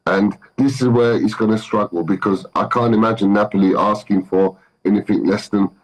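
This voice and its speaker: A man's voice: lowish voice, steady tone, normal speed, poor audio. A man from Turkey with a London accent, a football manager speaking as a guest, thinking aloud with many fillers and restarts. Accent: London English. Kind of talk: remote interview.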